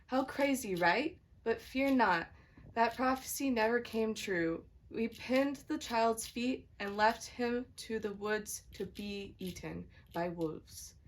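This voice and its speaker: A woman's voice: a little high, slow pace, low-quality recording. A woman playing a reassuring queen, a soothing but chiding wife, in an amateur puppet show. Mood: storytelling.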